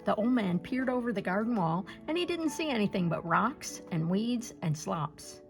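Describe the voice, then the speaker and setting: A woman storyteller reading a picture-book folktale aloud in an expressive way. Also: mid-range voice, normal speed, soft background music.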